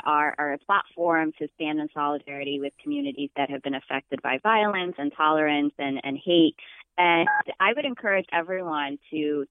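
A woman's voice: sits low, clean tone, no echo. Woman from Ethiopia on a tinny phone line. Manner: earnest, upbeat, with fillers. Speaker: march organizer. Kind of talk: phone interview.

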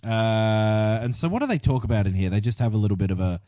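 A man co-hosting a casual chat, retelling scenes with lively asides and quick impressions of characters. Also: on the low side, no room sound, clean studio sound. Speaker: podcast co-host. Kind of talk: casual chat recapping a TV episode.

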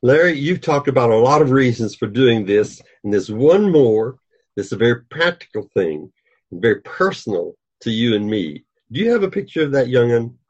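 Old guy great-grandpa talking faith slow and earnest, husky, muffled audio. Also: medium pitch, sing-song, darkish tone. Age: older. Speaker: guest. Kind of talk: reflective religious discussion. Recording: dull lo-fi sound.

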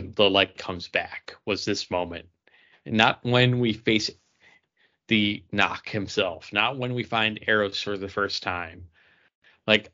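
A man with a fairly low voice talks comics in a casual chat. He is emphatic and slangy, circling back over his points. The recording is a bit dull and lo-fi. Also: fairly bright tone. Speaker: co-host of a comics review show. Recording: dull lo-fi audio.

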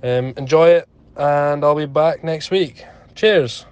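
A man recording a polite, slightly halting voice message for a podcast audience. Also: a little high, darkish tone, clear, resonant tone, muffled recording, faint music behind. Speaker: absent co-host sending a recorded message.

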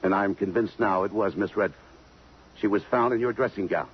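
A man's voice: on the low side, a little fast, natural voice. An actor playing a tough American police lieutenant in 1950s radio drama, blunt and pressing as he questions people. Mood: probing. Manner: blunt, pressing interrogation, slangy. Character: tough, persistent male police detective lieutenant. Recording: muffled, hissy 1950s radio broadcast.

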